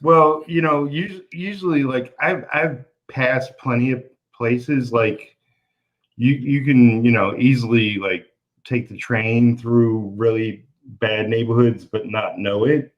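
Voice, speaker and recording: Slow-talking man with a light Brooklyn accent. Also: medium pitch, lo-fi sound. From Brooklyn, New York. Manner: easygoing, rambling with restarts. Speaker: podcast host. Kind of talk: casual interview chat.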